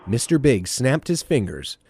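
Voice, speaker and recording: Man as a dramatic, animated superhero-serial narrator. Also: medium pitch, unhurried, gravelly, husky, dry recording, heavily acted. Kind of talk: dramatic storybook-style scripted narration.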